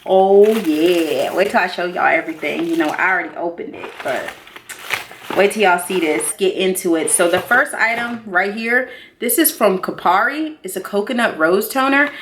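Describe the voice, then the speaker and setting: A woman in her thirties chatting casually and excitedly to viewers as a beauty vlogger, expressive and slangy, repeating herself for emphasis. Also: on the low side, moderate pace, a little hiss or hum. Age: 30s. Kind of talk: casual excited unboxing chat.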